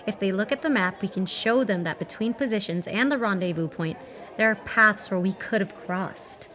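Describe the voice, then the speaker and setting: A woman's voice: medium pitch, normal speed, fairly bright tone, close to an everyday voice. A woman playing a guarded, level-headed operative in a naturalistic drama, dry and pointed. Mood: tense, challenging. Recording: music under dialogue.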